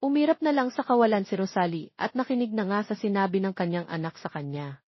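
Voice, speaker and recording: Woman, Filipino accent, audiobook narrator, scripted romance storytelling, medium pitch, slightly lo-fi. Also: quick pace, no echo. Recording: slightly dull, lo-fi recording.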